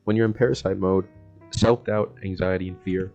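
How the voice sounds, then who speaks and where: Young adult man, American accent, low pitch, laid-back slangy delivery, informal monologue, faint music behind. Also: fairly even intonation, normal speed, vocal fry, a little mellow, muffled recording. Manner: slangy, laid-back, rambling, often chuckling. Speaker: vlogger. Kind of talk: casual self-help monologue.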